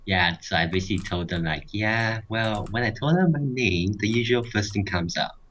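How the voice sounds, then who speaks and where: A man telling a casual anecdote in a halting, repetitive, rambling way. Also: lowish voice, fairly bright tone. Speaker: guest storyteller. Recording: noisy home mic, clicking and typing. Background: clicking, keyboard typing, background noise.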